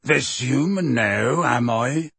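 A man's voice: fairly high pitch, lively intonation, a little slow, gravelly, fairly bright tone, breathy edge, no room sound, heavily acted. A man voicing a blunt, stubborn half-giant gamekeeper who talks testily and defiantly. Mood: testy. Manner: testy, defiant, outspoken.

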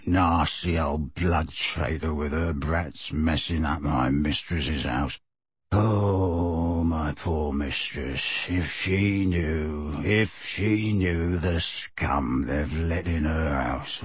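A man voicing an old male house elf who mutters resentfully in a slow, hoarse, deep croak. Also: no echo, heavily acted. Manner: slow grumbling mutter under the breath.

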